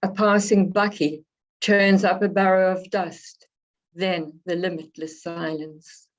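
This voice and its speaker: Slow, hoarse woman poet. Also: on the low side, little pitch movement. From South Africa. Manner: unhurried, reflective, pausing between phrases. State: slightly hoarse from a cold. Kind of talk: poetry reading with spoken introductions. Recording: dull lo-fi recording.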